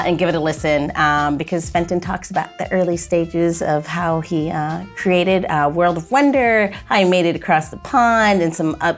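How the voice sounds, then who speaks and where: A woman hosting a podcast, interviewing in a casual, chatty way. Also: fairly low pitch. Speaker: podcast host.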